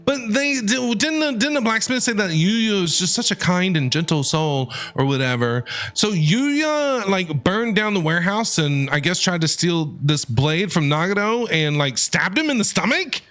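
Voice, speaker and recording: A man's voice: high voice, moderate pace, few pauses. A man streaming a game, reading dialogue aloud and reacting expressively. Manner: expressive, animated. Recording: game music under the voice. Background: game music.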